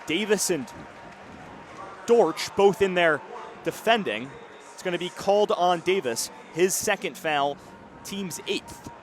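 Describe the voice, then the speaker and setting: A man's voice: high-pitched, lively intonation, takes it slowly, pauses often. A man in his early twenties calling live basketball play-by-play in punchy, clipped phrases. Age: early 20s. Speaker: radio play-by-play basketball announcer. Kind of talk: live sports commentary. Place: courtside in a basketball arena. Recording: loud arena crowd and court noise. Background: crowd, bouncing basketball.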